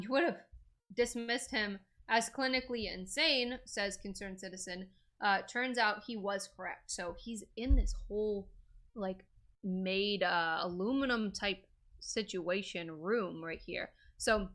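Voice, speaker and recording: A woman's voice: medium pitch, a bit bright. Chatty woman hosting a crypto vlog. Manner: chatty, filler-heavy, opinionated. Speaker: crypto video host. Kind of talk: casual commentary.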